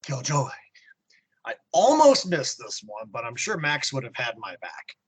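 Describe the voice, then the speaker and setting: Husky male podcaster with very animated pitch. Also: a little slow. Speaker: podcast co-host. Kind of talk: prepared comic-book review commentary.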